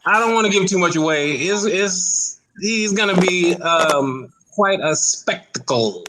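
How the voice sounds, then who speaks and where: Male talk show guest, high bright voice, casual and halting, good-natured, slightly noisy line with clicks. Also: lively intonation. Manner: hesitant, good-humoured, thinks aloud. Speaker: talk show guest, stage play actor. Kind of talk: casual interview chat.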